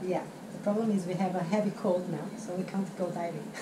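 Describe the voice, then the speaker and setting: A woman's voice: on the low side, fairly even intonation, brisk, far from the mic, noisy background. A middle-aged woman chatting casually in a sailing vlog, showing things to a handheld action camera. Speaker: sailing vlogger. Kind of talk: casual travel vlog chat. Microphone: action camera held close.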